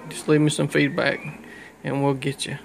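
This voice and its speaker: A man's voice: medium pitch, little pitch movement, normal speed, vocal fry, faint music behind. A man casually showing off his own radio gear indoors in a folksy, colloquial way. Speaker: hobbyist CB radio operator. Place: small room indoors. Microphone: handheld phone camera behind the lens.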